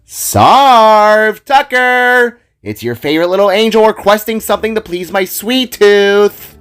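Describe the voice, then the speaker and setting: Man voicing an excitable, higher-pitched teenage girl. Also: unhurried, clear, resonant tone, soft background music. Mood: playful, eager. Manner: stammering, exclamatory. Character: bubbly, easily flustered teenage girl guitarist.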